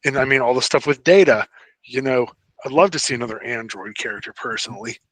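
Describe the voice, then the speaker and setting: A man's voice: mid-range voice, fast, a bit bright, no room sound. Man co-hosting a podcast, dry close sound. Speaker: podcast co-host. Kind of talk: casual fan discussion.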